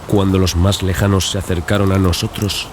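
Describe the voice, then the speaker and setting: A man's voice: low voice, flat delivery, moderate pace. A man narrating a dramatized horror audiobook in a scripted, dramatic storytelling style. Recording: clear background ambience and noise. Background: sound-design ambience.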